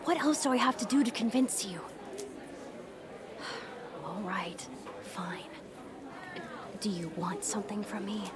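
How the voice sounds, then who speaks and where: A woman playing a bossy, easily flustered teenage popular bully in an audio roleplay, exasperated and pushy, halting when embarrassed. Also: medium pitch, expressive, noisy background. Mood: pleading, exasperated. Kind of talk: acted ASMR roleplay monologue to the listener.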